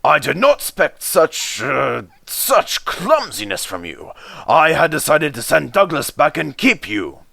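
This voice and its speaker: A man voicing a stern, pompous railway controller with slow, weighty disapproval in a children's story. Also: a little high, wildly swooping pitch, gravelly, sharp tone, no echo, pitched above the performer's own voice, heavily acted. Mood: indignant.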